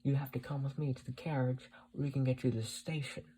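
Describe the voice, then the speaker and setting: A story reader acts as a bold woman leading a rescue. She sounds assured and calmly urgent, in storybook drama style. The recording carries clear noise and a hum. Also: mid-range voice, quick pace, lightly acted.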